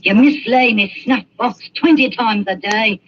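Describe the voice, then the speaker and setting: A woman's voice: a little high, measured pace, slight background noise, close to an everyday voice. An actress plays a greedy old woman who trades in corpses. She nags, threatens and wheedles in a coarse voice. The sound is dull and muffled, like an old radio play. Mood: scolding. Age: older. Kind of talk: 1940s radio acting.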